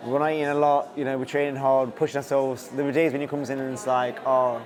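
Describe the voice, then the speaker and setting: A man chats casually at a very quick pace, his voice sitting at a middling pitch. He sounds like an athlete talking informally among friends. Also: little pitch movement. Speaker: boxer in training camp. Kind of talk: casual chat.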